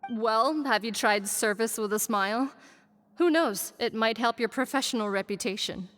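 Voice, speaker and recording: Casting: polished, teasing female officer for a game played by a woman, fairly high voice. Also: moderate pace, faint music behind, natural voice. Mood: smug, teasing. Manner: polished, gently smug, teasing. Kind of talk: English video game voice acting.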